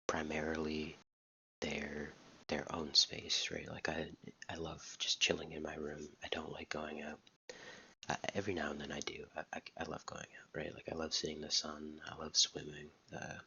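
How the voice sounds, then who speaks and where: Young guy talking real soft into a close mic, super deep and gravelly, keeps repeating himself, flat delivery. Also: dull audio, a little hiss or hum. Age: young adult. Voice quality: soft, near-whispering voice. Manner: halting, repeats words often. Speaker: vlogger. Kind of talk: soft-spoken personal vlog. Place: dorm room. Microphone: close mic, wet mouth sounds.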